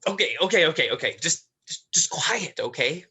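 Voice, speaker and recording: A man's voice: fairly high pitch, animated, moderate pace, sharp tone, slightly rough, exaggerated voice. An actor playing a nervous, reluctant young man in a dramatized script reading, stammering and hesitant. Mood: uneasy.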